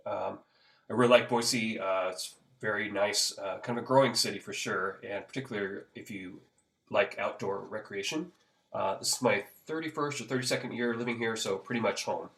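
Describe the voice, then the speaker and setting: A man in his fifties with a somewhat low voice speaks as a guest on an interview show. He talks in a relaxed, rambling way, stopping often on "uh" and letting a soft chuckle slip in now and then. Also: moderate pace. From Pacific Northwest. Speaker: guest, school counselor. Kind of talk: conversational interview.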